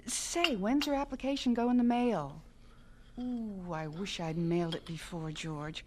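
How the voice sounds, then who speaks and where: A woman's voice: medium pitch, unhurried, darkish tone, slight background noise, close to an everyday voice. An actress in a radio drama playing a motherly, anxious married woman who fusses and exclaims. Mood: affectionate. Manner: fussing, exclaiming.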